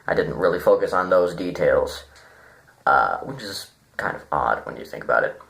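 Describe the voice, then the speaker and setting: A man giving a casual, unscripted review, halting and rambling as he thinks aloud. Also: rumbling low, expressive, creaky, fairly bright tone. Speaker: online story reviewer.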